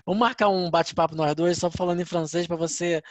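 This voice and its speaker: A man's voice: a little high, steady tone, fast talker, no room sound. A man from Brazil co-hosting a casual podcast, speaking earnestly and agreeably, often restarting his sentences. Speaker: podcast co-host.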